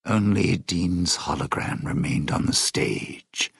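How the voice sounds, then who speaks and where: Raspy, sardonic old lounge crook. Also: male voice, low voice, takes it slowly, no room sound, studio quality, heavily acted. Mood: wry, reflective. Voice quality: raspy, gravelly. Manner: sardonic, slangy showman's patter. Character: smug, suspicious old lounge singer and thief. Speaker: video game voice actor. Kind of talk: in-game character dialogue and epilogue narration.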